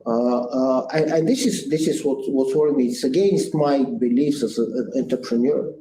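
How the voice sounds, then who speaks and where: A Bulgarian-accented man in his sixties speaks earnestly and thoughtfully, pausing often on "uh" and restarting his phrases. His voice is mellow and dark at a middle pitch. It sounds like a roomy, echoey space with a faint hiss behind him. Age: 60s. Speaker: guest entrepreneur. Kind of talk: conversational interview answers. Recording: echoey room with faint noise.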